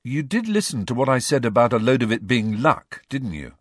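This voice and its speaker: A man's voice: mid-range voice, very animated, brisk, dry recording, studio quality, voice pushed up. A man voicing a grumpy, reluctant teenage schoolboy in an audiobook, speaking hesitantly.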